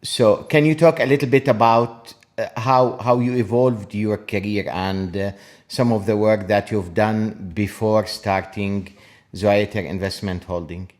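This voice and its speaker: Brief: male podcast host, lowish voice, polite and halting interviewer. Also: fairly even intonation, a little mellow. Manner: polite, halting questions with restarts. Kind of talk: interview questions.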